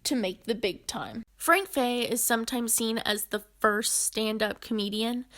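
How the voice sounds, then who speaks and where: A woman with a fairly high voice presents a scripted history piece. She talks as a host narrator and slips in casual asides. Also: a bit bright.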